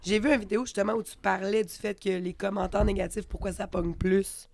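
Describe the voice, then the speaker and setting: A podcast host talks with a broad Québécois accent, loose and colloquial, in a mid-pitched voice. The sound is dry and close, with no room around it. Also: fairly even intonation, fairly quick, creaky, no echo. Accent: Québécois French. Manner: chatty, informal, colloquial. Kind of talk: casual conversational interview.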